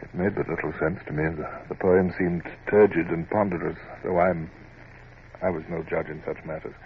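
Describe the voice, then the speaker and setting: Naval officer memoirist, low register, formal, literary and reflective, dull noisy old radio-drama sound. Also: male voice, fairly even intonation, moderate pace. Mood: thoughtful, grave. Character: reflective, scrupulous young male Royal Navy officer narrating memoirs. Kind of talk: dramatic first-person radio drama narration.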